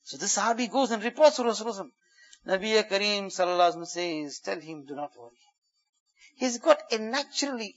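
A man preaching a sermon, telling stories, who swings between loud emphatic phrases and hushed quiet ones and quickly chants Arabic verses. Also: sits high, lo-fi sound. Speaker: Islamic preacher. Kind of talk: sermon storytelling.

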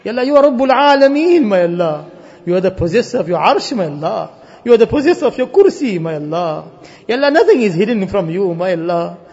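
High-pitched husky man in pleading prayer. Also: sing-song, fast. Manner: pleading, emotional, rising from hushed to loud cries. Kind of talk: impassioned supplication with repeated refrains. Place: live religious gathering. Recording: muffled, dull lo-fi live recording.

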